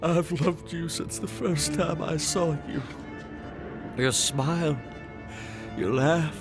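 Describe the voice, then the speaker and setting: Grieving husband, gothic audio drama: devoted, tormented, slow-spoken, music behind. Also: male voice, a little high. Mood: tender sorrow. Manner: tender, sorrowful, pleading. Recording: music under the voice.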